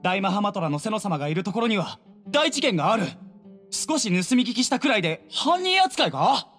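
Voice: medium pitch, sing-song, brisk, a bit bright, big-room sound, soft background music. An actor playing a shifty young male culprit in an anime game, indignant and evasive. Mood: defensive. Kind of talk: Japanese anime game voice acting.

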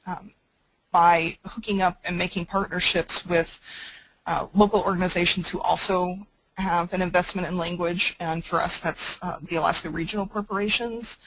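A woman's voice: lowish voice, fairly even intonation, moderate pace, a bit bright, breathy edge, dry recording, poor audio. A woman presenting on a webinar call, speaking plainly and practically with many fillers.

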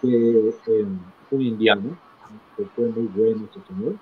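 A middle-aged man recounting his life as an interview guest, in a halting manner, repeating phrases for emphasis. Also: on the low side, steady tone. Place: small indoor room, video call. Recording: tinny phone-like call with clear noise.

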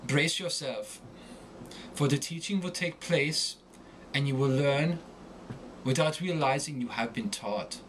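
A man giving a scripted lesson in a deliberate, theatrical way with emphatic enunciation. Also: medium pitch, a bit bright, a little hiss or hum, rough recording. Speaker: accent coach. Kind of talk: scripted accent lesson with example monologue.

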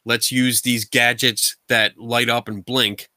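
A middle-aged man from the United States talks over a video call, blunt and colloquial, with animated energy. His voice is bright and forceful, with a dry, close sound. He speaks from a room at home. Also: mid-range voice, takes it slowly, dry recording. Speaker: guest paranormal investigator. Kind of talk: casual video call interview. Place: home room full of collectibles.

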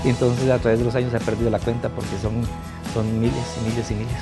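A man's voice: medium pitch, little pitch movement, fast. Middle-aged Costa Rican man over music. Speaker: restaurant staff interviewee. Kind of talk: informal interview answers. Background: background music.

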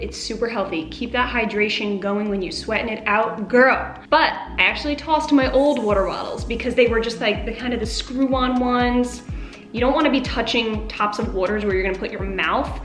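A woman's voice: a little high, a bit bright, roomy sound. A young woman in her twenties chatting to camera in a bubbly, slangy way about what she brings to the gym. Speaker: fitness vlogger. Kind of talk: casual chatty product rundown. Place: bright indoor home kitchen.

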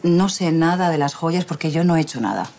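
A candid woman in her forties from Spain, in prison: low, fast-talking, earnest. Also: expressive, a little mellow, slight background noise. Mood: recounting. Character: spirited Spanish woman jailed for a crime she denies. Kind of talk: television drama acting. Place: small office-like prison visiting room, indoors.

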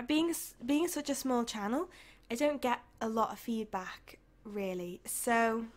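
Croaky, tired young British woman chatting. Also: a little high. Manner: rambling, chatty, with soft laughs. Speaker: YouTuber. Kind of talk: casual voice-over chat over a game build.

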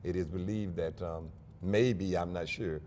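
An older man, a pastor, speaking earnestly and at a measured pace in a studio interview on a lapel mic, with small restarts. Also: sits low, steady tone, mellow, slight background noise. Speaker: pastor and convention guest.

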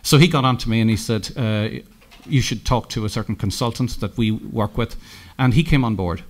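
A middle-aged Irish man giving a conference keynote, telling anecdotes with lots of halting restarts and self-corrections. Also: fairly low pitch. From Ireland. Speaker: keynote speaker. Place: conference room, indoors.

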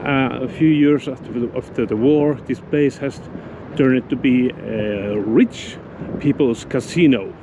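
A man with a Finnish accent, a Finnish native speaker narrating his exploration vlog outdoors, halting as he searches for English words. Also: medium pitch. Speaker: urban explorer. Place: outdoors at an abandoned mansion. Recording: loud wind noise on the mic.

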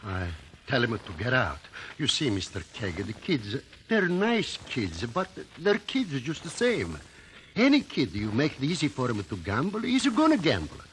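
An actor playing an earnest, kindly immigrant shopkeeper in a 1950s radio drama, speaking broken English with a foreign accent. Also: male voice, mid-range voice, huge pitch swings, moderate pace, fairly bright tone. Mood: sincere, reluctant. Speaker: courtroom witness. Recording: noisy 1950 radio broadcast recording.

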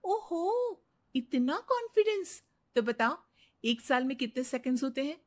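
A woman voicing a smug young daughter-in-law in an animated story, self-assured and taunting. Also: high voice, measured pace, voice pushed up. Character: smug, challenging young daughter-in-law who loves riddles.

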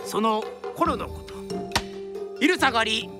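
A woman's voice: on the high side, slow, over music. A woman narrating a scripted story in a formal, polite storyteller's manner.

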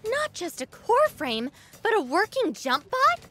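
A self-assured young woman mechanic from a video game. Her voice is high and bright with lively intonation. She speaks dryly and matter-of-factly. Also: measured pace, faint music behind, heavily acted. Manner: matter-of-fact, dry. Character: confident, dry-humored young woman mechanic. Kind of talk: video game cutscene acting.

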